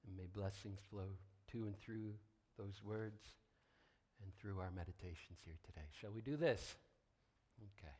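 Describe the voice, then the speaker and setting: A man's voice: on the low side, unhurried, dark tone, husky. A man preaching an informal sermon as a pastor, conversational and folksy with wry asides. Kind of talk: informal sermon, partly read from notes.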